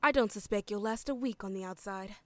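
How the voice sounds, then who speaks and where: A woman's voice: medium pitch, fairly quick, dry recording, lightly acted. A woman playing a cold, contemptuous captor in an audio drama, scornful and controlled. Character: cold, contemptuous woman guarding a hated captive.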